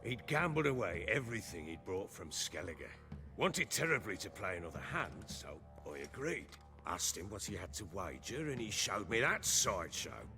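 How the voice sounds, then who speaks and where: Casting: a gruff, swearing baron, low gravelly voice, big swings in delivery, fantasy game drama. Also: male voice, very animated, moderate pace, music in the background. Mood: wry storytelling. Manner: coarse, swearing, blustering. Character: gruff, coarse middle-aged baron, boisterous and commanding.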